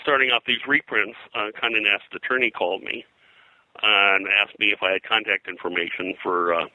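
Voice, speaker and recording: Deep, very bright-voiced older man, halting. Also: steady tone, dry recording, rough recording. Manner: halting, discursive, hesitant phrasing. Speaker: interview guest, pop culture historian. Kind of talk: casual interview, anecdotal storytelling.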